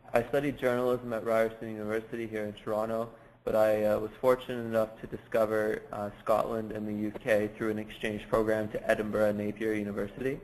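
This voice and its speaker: A man presenting in a webinar for an education organisation, measured and steady, with frequent uhs. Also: fairly low pitch, low-quality recording. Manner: flat, measured, with many uhs.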